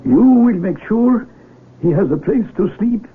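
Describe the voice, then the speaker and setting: Give this to an older village patriarch in a radio drama. His voice is very husky and pitched high, and he phrases things formally and deliberately. It sounds tinny, like an old broadcast. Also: expressive, exaggerated voice. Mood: solemn, welcoming. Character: father, learned elder of an isolated mountain valley village.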